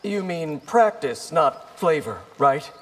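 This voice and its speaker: Distant, highish-voiced earnest fighter. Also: male voice, expressive, slow pace. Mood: wry. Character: earnest, plainspoken young male fighter from Earth. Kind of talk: fighting game character dialogue. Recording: distant, loud background noise.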